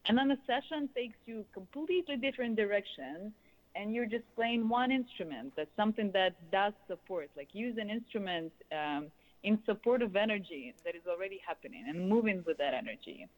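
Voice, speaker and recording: A woman in her thirties with a Russian accent, answering interview questions in loose, flowing, expressive phrases peppered with "you know". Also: mid-range voice, fairly quick, rough recording. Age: 30s. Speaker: guest, sound healer and musician.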